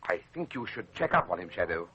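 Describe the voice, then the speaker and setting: An actor playing a devious, smooth-talking gang boss in an old Australian radio drama, husky, emphatic and melodramatic. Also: male voice, low voice, sing-song, brisk, bright, forceful tone, full character voice. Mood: earnest, insinuating. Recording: muffled lo-fi vintage radio recording.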